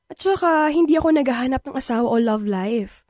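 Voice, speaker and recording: A woman's voice: sits high, expressive, a little mellow, no room sound, clean studio sound. An actress playing a playful, persistent young woman in a radio drama, coaxing and teasing, with a Filipino accent. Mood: earnest. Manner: coaxing, teasing, drawn-out words. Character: playful, persistent, sweet-talking young woman wanting a baby.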